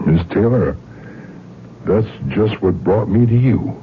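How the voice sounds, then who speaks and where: An actor playing a grave, polite small-town lawman in a radio drama, with halting, folksy rural speech. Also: lowish voice, animated, background noise. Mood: grave, hesitant. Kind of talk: old-time radio drama acting. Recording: muffled vintage radio, music behind.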